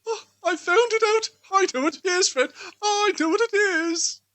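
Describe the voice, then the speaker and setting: A man voicing an excited young woman who exclaims over a parlour game in a storybook. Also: extremely high pitch, moderate pace, dry recording, voice pushed up.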